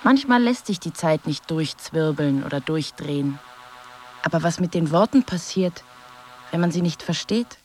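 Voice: medium pitch, animated, moderate pace, slight background noise, lightly acted. A performer playing a detached, philosophical commentator, reading poetic narration in a radio drama. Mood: detached, reflective.

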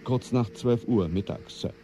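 A man's voice: medium pitch, a little slow, warm tone, faint music behind, lightly acted. A man playing a deferential presidential aide in a 1980s radio drama. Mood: subdued, uneasy. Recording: faintly noisy radio play track.

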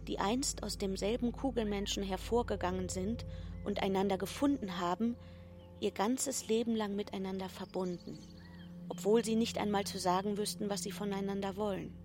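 A woman reading scripted narration in a measured, explanatory manner. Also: medium pitch, fairly even intonation, a little mellow. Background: music.

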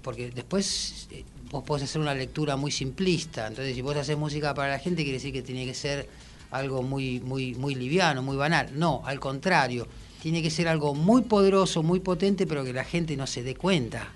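A man with a Rioplatense Argentine accent talking casually and reflectively in a radio interview, with halting, colloquial phrasing. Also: medium pitch, a little hiss or hum. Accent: Rioplatense Argentine Spanish. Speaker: musician and songwriter guest.

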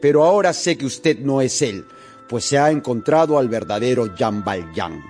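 An actor playing a rigid, law-obsessed police inspector in a radio drama, formal, deliberate and brooding. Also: male voice, medium pitch, expressive, a little mellow, faint music behind, natural voice. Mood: formal, stern.

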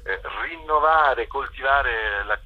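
A man giving explanatory interview answers as a theatre director, hesitant and searching for words, with a husky voice. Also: medium pitch, slow, narrow, tinny audio. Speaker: theatre director and author interviewed about a play.

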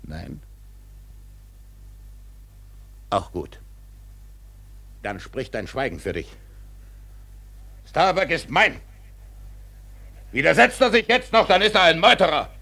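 An actor playing an obsessed, domineering old whaling captain in a radio drama, gravelly and declamatory with brooding pauses. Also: male voice, a little high, big pitch swings, bright, forceful tone, full character voice. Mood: quietly menacing, then goading, fierce. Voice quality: gravelly, husky. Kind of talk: German radio drama acting.

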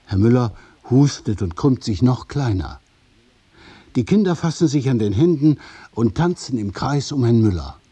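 A man narrating a scripted radio drama in the present tense, with deliberate, measured phrasing. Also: mid-range voice, lively intonation, mellow, breathy edge.

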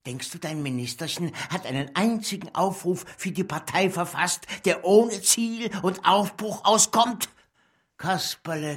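Man voicing a blustering puppet party minister, bright and husky, lecturing in a radio play. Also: high voice, full character voice. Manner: blustering, lecturing, swings from mutter to proclamation. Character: pompous male party minister puppet, bullying, grandiose.

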